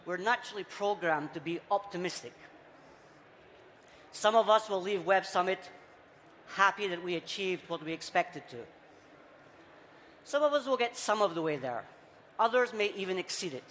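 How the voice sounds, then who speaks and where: A man with a Scottish accent softened by years abroad, giving a punchy, rhetorical conference talk in short, repeated phrases. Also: high voice, normal speed, pauses often. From Scotland. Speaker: conference speaker. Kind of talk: keynote talk on stage. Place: large conference hall. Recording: reverberant hall, faint background noise.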